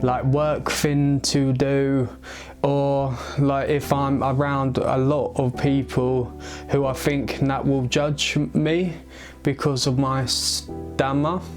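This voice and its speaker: Stammering young man, very slow. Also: mid-range voice, flat delivery. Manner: stammering, halting with many pauses and restarts. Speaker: vlogger. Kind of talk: personal story to camera. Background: guitar music.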